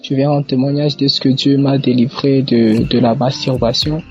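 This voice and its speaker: A young man with an eastern Congolese French accent gives a personal religious testimony, haltingly, repeating words as he goes. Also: medium pitch, little pitch movement. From eastern Democratic Republic of the Congo. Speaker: church member giving testimony. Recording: muffled lo-fi audio under faint music. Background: faint music.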